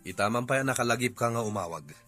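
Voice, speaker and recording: A man's voice: mid-range voice, lively intonation, quick pace, sharp tone, soft background music. A man playing a frustrated Filipino husband and grown son in a drama. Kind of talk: comedy-drama acting.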